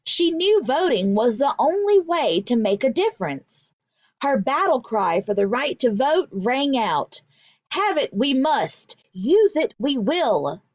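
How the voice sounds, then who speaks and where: A woman with a fairly high voice reads aloud like a primary teacher, taking her time over each phrase. Her delivery is emphatic and deliberate, made for young listeners. The recording is dry and a little muffled. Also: very animated, no room sound. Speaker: teacher reading a picture book aloud. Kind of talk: expressive children's read-aloud, instructional.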